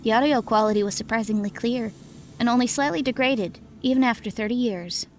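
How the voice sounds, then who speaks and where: A woman's voice: on the high side, a little fast, darkish tone. A woman narrating a scary story in the first person, reading from a script.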